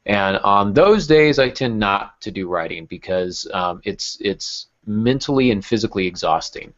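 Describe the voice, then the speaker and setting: A man's voice: lowish voice, expressive, moderate pace. A man hosting a podcast, chatting casually and explaining things in a conversational way. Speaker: podcast host. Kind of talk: casual podcast chat about writing. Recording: dull, lo-fi podcast audio.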